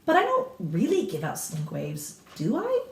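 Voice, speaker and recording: A woman's voice: on the high side, huge pitch swings, a little slow, a little hiss or hum, voice pushed up, exaggerated voice. A woman voicing a curious, earnest young grandchild in a storybook, full of eager questions. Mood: doubtful, earnest. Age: child. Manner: inquisitive, earnest. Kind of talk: storybook read-aloud character. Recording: roomy echo, distant microphone.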